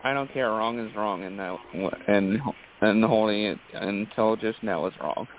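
A man's voice: lowish voice, moderate pace, a little hiss or hum. Emphatic man on a phone-like call. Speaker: podcast panelist. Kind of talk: casual group chat.

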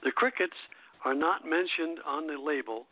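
Higher-pitched man on a tinny phone line. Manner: halting delivery with stumbles over words. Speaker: radio show host. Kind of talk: reading prepared music and airline history aloud. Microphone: phone call-in line.

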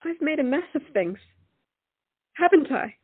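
A woman's voice: high voice, animated, a little slow, phone-quality sound. A woman playing a proud, dramatic young lady in love, indignant and melodramatic, in a period stage comedy. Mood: rueful.

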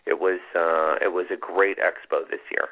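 A man with a fairly low voice hosts a call-in radio show and talks callers through their readings. He is calm and reassuring, with plenty of "you know" along the way. It all comes through a narrow, tinny broadcast band. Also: normal speed. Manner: reassuring, conversational, says "you know" often. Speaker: astrologer radio host.

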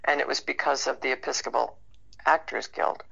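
A woman's voice: extremely low pitch, fairly even intonation, a little fast, creaky, a bit bright, slightly rough. A woman speaking casually and conversationally as a guest in an interview. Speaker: interview guest, actor and writer. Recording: dull band-limited radio call.

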